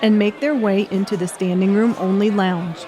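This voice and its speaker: A woman's voice: mid-range voice, fairly even intonation, moderate pace. A woman reading scripted narration of a comic novel as an audiobook narrator. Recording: music and crowd noise behind. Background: music and bar crowd sounds.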